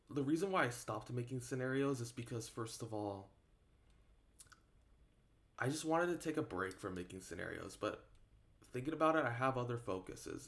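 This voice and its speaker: Halting, rambling man talking off the cuff. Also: medium pitch, fairly bright tone. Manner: halting, rambling, repeats himself for emphasis. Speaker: online video creator. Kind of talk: casual unscripted opinion talk to viewers.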